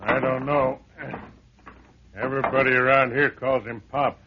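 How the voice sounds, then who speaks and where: A man's voice: mid-range voice, steady tone, a little slow, noisy background, lightly acted. An actor playing a folksy, plain-spoken handyman in a vintage radio drama, deferential, wary and skeptical. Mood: matter-of-fact, skeptical. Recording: dull old radio recording.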